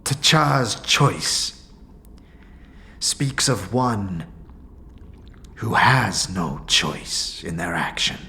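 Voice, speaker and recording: An actor playing a solemn, reluctant old warrior sage in a fantasy audio drama, speaking in a breathy hush with slow, cryptic, halting words. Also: male voice, low-pitched, expressive, exaggerated voice. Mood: grave, pained.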